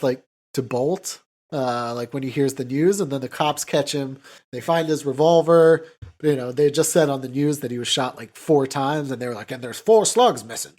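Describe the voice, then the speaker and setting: A man co-hosting a podcast, casually recapping a film in a halting way full of filler words. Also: on the high side, darkish tone. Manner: halting, filler-heavy with "like" and "you know". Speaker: podcast co-host.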